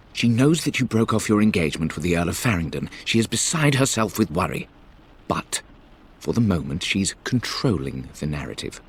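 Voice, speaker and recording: A man's voice: lowish voice, slightly rough. An actor playing a stern upper-class man, reading an admonishing family letter aloud in a formal manner for a video game. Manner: formal, reproving. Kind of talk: dramatic game letter narration.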